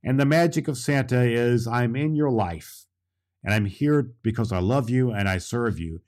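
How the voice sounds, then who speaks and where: A man's voice: medium pitch, normal speed, darkish tone, lightly acted. A man playing Santa Claus as a jolly old storyteller, talking directly and emphatically to children. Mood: jolly, earnest. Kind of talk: children's storytelling and friendly show hosting.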